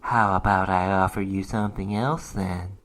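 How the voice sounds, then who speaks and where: A man's voice: low-pitched, steady tone, moderate pace, fairly bright tone, pitched below the performer's own voice. A man voicing a seductive, cunning female demoness in a sultry, coaxing manner for a story reading.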